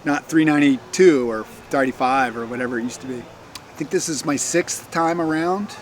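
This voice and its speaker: A man's voice: mid-range voice, moderate pace, a little hiss or hum. A man from North Carolina, a bikepacking racer answering interview questions in a matter-of-fact, detailed way.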